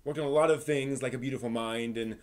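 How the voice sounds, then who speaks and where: Male streamer, medium pitch, casual and off-the-cuff, halting as he thinks aloud. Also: steady tone. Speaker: live stream host. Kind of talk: casual, improvised live stream chat.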